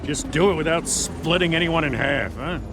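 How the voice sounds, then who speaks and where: An actor playing a middle-aged police lieutenant, blunt, wary and gravelly, in a dramatic game. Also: male voice, fairly high pitch, normal speed, background noise. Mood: wary, exasperated. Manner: exasperated, blunt.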